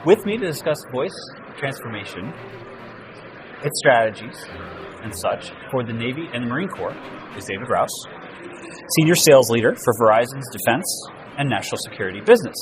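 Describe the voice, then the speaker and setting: A mid-pitched man works as an interviewer, putting prepared questions to a guest. Faint ambient noise sits behind his voice. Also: sing-song, moderate pace, pauses often. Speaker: interviewer, host. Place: naval trade show event.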